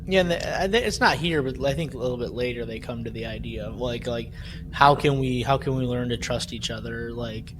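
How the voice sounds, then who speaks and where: A man's voice: mid-range voice, a little fast, noisy background. A man co-hosting a podcast in casual discussion, retelling scenes and often checking his points with "right?". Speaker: podcast co-host. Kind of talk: casual discussion recapping an audio drama.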